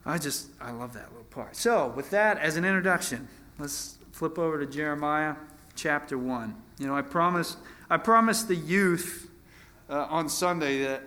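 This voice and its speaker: A man's voice: on the high side, normal speed, bright, forceful tone. A man in his twenties teaching from the Bible in an informal sermon, conversational and self-deprecating, often restarting his phrases. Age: 20s. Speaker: preacher.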